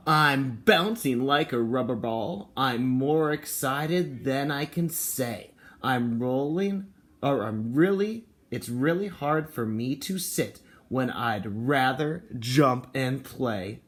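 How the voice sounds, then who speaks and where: A man speaking as a kindergarten teacher, reading and explaining to young children in an expressive, encouraging way with simple phrasing. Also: mid-range voice, slow pace. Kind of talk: picture book read-aloud and talk to children and parents.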